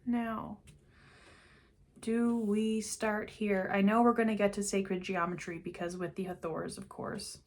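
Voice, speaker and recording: A woman talks through an intuitive reading in an unscripted, musing way, her thoughts wandering and her voice sinking into quiet asides. She speaks very slowly, in a mid-range voice that is neither high nor low. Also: fairly even intonation. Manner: slow, meandering, trailing off into quiet asides. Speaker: intuitive card reader. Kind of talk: unscripted spiritual reading to camera.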